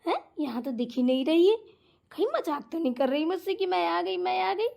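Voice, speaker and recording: Woman playing cartoon mother-in-law, high voice, bossy, doting, indignant, scolding. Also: lively intonation, a little fast, creaky, darkish tone, full character voice. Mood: fussy, affectionate. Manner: scolding, indignant, rhetorical questions. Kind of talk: Hindi cartoon family comedy acting.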